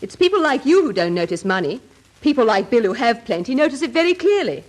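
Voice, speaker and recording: An actress playing a sensible young woman, crisp, dry and teasing in a radio comedy. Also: a little high, very animated, fast talker. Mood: exasperated. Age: young adult. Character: sensible, tart young English sister and daughter.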